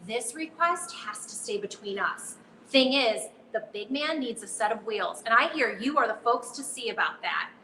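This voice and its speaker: High, bright little Santa's elf, played by a woman. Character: chummy, conspiratorial little Santa's elf writing a letter. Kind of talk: scripted radio advertisement. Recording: roomy sound with faint background noise.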